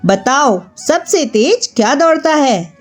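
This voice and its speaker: A woman's voice: sits high, very animated, takes it slowly, voice pushed up, full character voice. A woman voicing a learned king in a children's story, formal and commanding. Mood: probing. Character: proud, learned Indian king questioning his subject.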